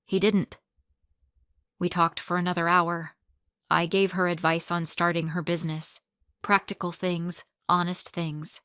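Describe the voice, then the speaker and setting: Woman, narrator, first-person story, calm even delivery, low voice, dry recording. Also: normal speed, clear, resonant tone, no room sound.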